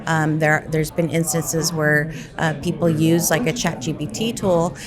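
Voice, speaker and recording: A woman answers interview questions casually, often checking in for agreement. Her voice is low for a woman, and there is clear crowd chatter behind her. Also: steady tone, a little slow. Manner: informal, seeking agreement with frequent check-in tags. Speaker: interviewed technology executive. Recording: busy crowd noise behind.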